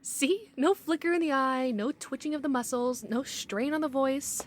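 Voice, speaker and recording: High-pitched skeptical female investigator played by an actress. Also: normal speed, slight background noise, natural voice. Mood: insistent. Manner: precise, argumentative, matter-of-fact. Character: skeptical, bookish young female paranormal investigator, stubborn and by-the-book. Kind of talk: audio roleplay drama.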